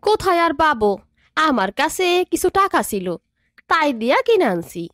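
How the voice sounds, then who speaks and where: A woman's voice: sits high, big pitch swings, moderate pace, a bit bright, dry recording, studio quality. A woman voicing an evil female ghost who poses as a village wife, sweet and evasive, in a cartoon with faint music behind. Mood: sly. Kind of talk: cartoon voice acting.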